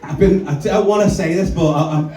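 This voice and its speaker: A man's voice: a little high, a little fast. A young man doing a brash, self-mocking stand-up set in a small comedy club. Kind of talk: stand-up set with crowd work. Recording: echoey room, distant mic, loud crowd laughter.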